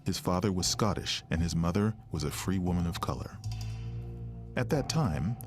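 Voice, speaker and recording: Deep-voiced male documentary narrator. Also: lively intonation, normal speed. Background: plucked guitar music.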